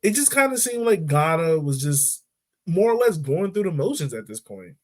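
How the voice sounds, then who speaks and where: A man hosting a casual football podcast, talking in a relaxed, chatty way and laughing easily. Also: on the high side, normal speed. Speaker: podcast host. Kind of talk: casual sports chat.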